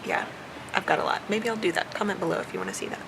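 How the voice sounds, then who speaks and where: A woman's voice: lowish voice, very fast, creaky, background noise. A young adult American woman from the South chatting casually as a vlogger, answering tag questions while chewing gum. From southern United States.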